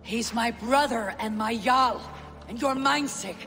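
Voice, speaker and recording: A woman's voice: on the high side, measured pace, a bit bright, slight background noise. An actress playing a fierce, loyal Viking warrior woman in a video game, blunt and commanding. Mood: scornful. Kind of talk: dramatic video game acting.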